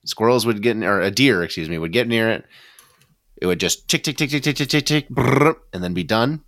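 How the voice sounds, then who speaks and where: Male panelist, very low register, dry close sound, enthusiastic casual storyteller. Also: expressive, fairly quick, fairly bright tone, dry recording. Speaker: podcast panelist. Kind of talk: casual anecdotal chat.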